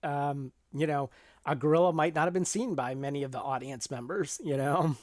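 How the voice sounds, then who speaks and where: A woman's voice: low-pitched, moderate pace, darkish tone, no room sound. Thoughtful woman co-hosting, close dry sound. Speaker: podcast co-host. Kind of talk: casual book and film discussion.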